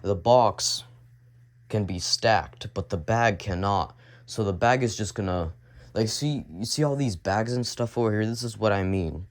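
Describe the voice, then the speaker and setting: A young man with a cold, his voice deep and raspy, rambling casually as he films a tour of his home basement. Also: unhurried. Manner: rambling, repeats and restarts as he shows things. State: sick, coughing. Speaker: vlogger.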